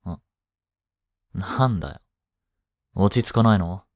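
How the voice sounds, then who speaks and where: A young man playing a blunt, teasing but caring studious high-school boy in an intimate audio drama, speaking casually. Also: takes it slowly, no echo, clean studio sound. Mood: casual, curt. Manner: casual, curt, softly teasing. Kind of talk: intimate Japanese boyfriend-roleplay audio drama.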